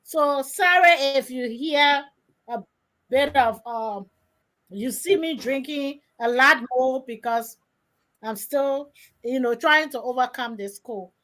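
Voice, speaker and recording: A woman hosting a live radio talk show, her voice rough from a cold, talking haltingly with restarts and fillers. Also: sits high, fairly bright tone, poor audio. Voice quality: rough, strained voice. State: has a cold. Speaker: radio host. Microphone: studio mic.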